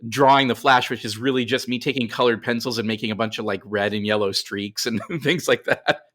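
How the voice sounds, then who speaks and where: A man's voice: medium pitch, steady tone, brisk, a bit bright. A middle-aged man telling stories casually as a guest in a podcast interview.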